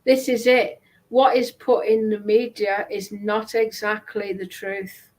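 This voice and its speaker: A woman chatting casually in a hesitant, halting manner. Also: mid-range voice, little pitch movement, darkish tone, poor audio. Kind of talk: casual chat.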